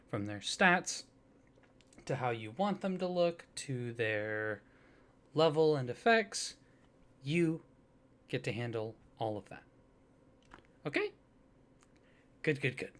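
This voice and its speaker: A soft-spoken man doing an ASMR roleplay, playing a polite office clerk who talks the listener through a form. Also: medium pitch. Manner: soft-spoken, polite customer-service patter.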